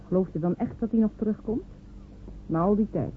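A woman's voice: medium pitch, a little fast, natural voice. A woman playing a doubting, prying village neighbour, speaking in a probing and skeptical way in a radio play. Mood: doubtful. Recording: narrow phone-like radio recording with faint hiss.

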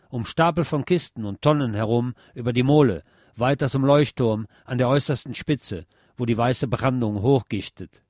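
A man's voice: mid-range voice, darkish tone, no echo. Man narrating, close and dry. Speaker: narrator and presenter of a radio series. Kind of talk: scripted literary reading and narration.

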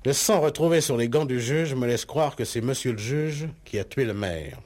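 A man playing a bemused, wary radio actor cast as a police doctor in an old French radio play. His voice is husky and sits in the middle register, with very varied intonation. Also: mid-range voice, expressive, moderate pace, darkish tone, lightly acted. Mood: grave, explanatory.

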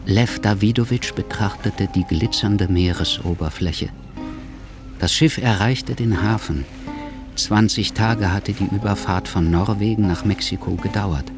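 Melancholy writer narrator, man, very low voice, reflective storytelling, radio play, music behind. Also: unhurried. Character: melancholy Cuban writer telling his tale, reflective man.

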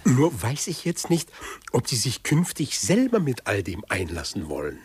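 A man's voice: medium pitch, huge pitch swings, normal speed, a little mellow, breathy edge, exaggerated voice. An actor playing a scheming, falsely fatherly middle-aged businessman in a 1950s German radio drama, unctuous and patronising. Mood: oily, soothing. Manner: unctuous, patronising, with smug chuckles.

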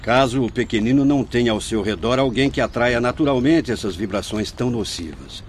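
An actor playing a kindly old Indian spirit who directs an asylum in a radio drama, courteous, solemn and didactic, with a Brazilian accent. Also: male voice, mid-range voice, brisk, slight background noise, lightly acted. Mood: grave, compassionate. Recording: music behind the voice.

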